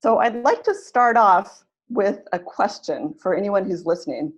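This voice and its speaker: A woman's voice: mid-range voice, animated, moderate pace. A woman, presenting an online webinar for teachers in a friendly, instructive way. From California, USA. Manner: friendly, instructive, leads warm-up exercises. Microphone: computer mic on video call. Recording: dull lo-fi webinar audio.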